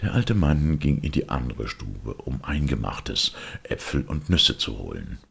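Extremely deep, gravelly male storyteller. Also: very animated, unhurried, a bit bright. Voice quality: gravelly, husky. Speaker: storyteller, narrator. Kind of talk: scripted fairy-tale narration.

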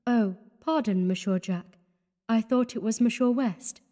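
A man's voice: very high voice, unhurried, mellow, long reverb tail, pitched above the performer's own voice. A man voicing a shy young woman, breathless and sighing. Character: shy young French woman, newly engaged.

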